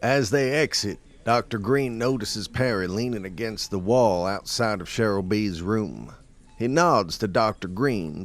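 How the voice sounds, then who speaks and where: Male narrator, lower register, scripted drama narration.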